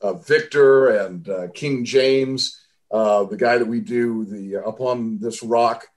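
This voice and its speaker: Male podcast host, hesitant questioner, mid-pitched voice. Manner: halting, many uh fillers. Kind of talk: casual interview questions.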